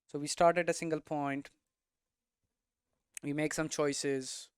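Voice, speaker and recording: A man's voice: a little high, level tone, slow pace, darkish tone, clean tone, no echo, clean studio sound. A man giving an informal lesson, thinking aloud conversationally and often checking in with tags like "right?". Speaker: game development streamer and teacher.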